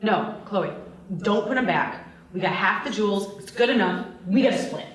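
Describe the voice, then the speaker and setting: A woman's voice: medium pitch, lively intonation, normal speed, close to an everyday voice. A woman playing a bossy, short-fused female leader of a thieving gang in a screenplay table read. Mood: livid, commanding. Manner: commanding, curt. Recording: roomy, distant mic.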